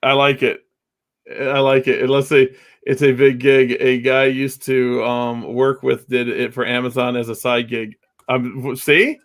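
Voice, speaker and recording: A man hosting a casual podcast chat, talking loudly and rambling with many fillers, his mic set about two feet away. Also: medium pitch, flat delivery, unhurried. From Wisconsin. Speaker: podcast host.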